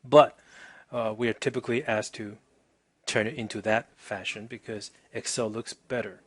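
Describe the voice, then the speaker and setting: A man teaching a casual software demonstration, informal and chatty, often checking in with the listener, with a husky voice. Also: fairly low pitch, steady tone, moderate pace. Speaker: instructor.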